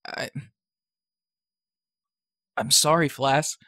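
A man's voice: mid-range voice, fairly even intonation, extremely slow, pushed and bright, no echo, clean studio sound, full character voice. A man playing a young, guilty yet defiant kid among criminals in an audio drama, hesitant and earnest.

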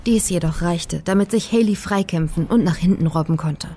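Low-pitched woman narrating horror, soft-toned. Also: moderate pace. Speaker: audiobook narrator. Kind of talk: dramatic scripted horror narration. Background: faint atmospheric music.

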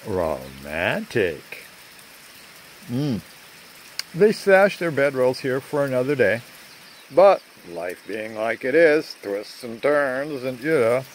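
An older man narrating a rambling video outdoors among trees, talking in a halting way with many fillers, recorded on a handheld phone. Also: mid-range voice, sing-song, a little hiss or hum. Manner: slow, halting, full of uh fillers. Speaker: vlogger. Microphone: phone at arm's length.

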